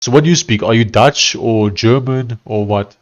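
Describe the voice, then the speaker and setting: A South African man with a thick Afrikaans accent talks casually to his live stream viewers while coding. He thinks aloud, stumbling through fillers and restarting sentences. His voice sits at an ordinary middle pitch. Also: moderate pace, dull audio. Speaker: live-streaming software developer.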